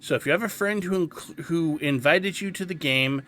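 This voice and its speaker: A man giving casual tutorial commentary on a video game, explaining things in deliberate, paused phrases. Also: a little high, pushed and bright. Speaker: gaming guide host.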